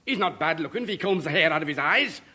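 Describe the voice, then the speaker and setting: Stern indignant father: high, quick, commanding, vintage radio sitcom. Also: animated, sharp tone, husky, full character voice. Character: outraged, stern upper-class father guarding his daughter. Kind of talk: 1950s radio sitcom acting.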